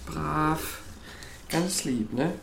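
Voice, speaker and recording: A man's voice: on the high side, drawn-out words, vocal fry, heavy background noise, full character voice. A man playing an awkward, easily unsettled young man on a date in a naturalistic audio drama, speaking hesitantly. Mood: grudging. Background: music.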